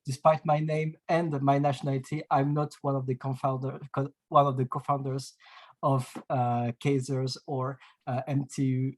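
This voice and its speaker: A man from France with a French accent, hosting an online webinar in a halting way, full of fillers and restarts, heard over a video call. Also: mid-range voice, little pitch movement. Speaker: webinar host.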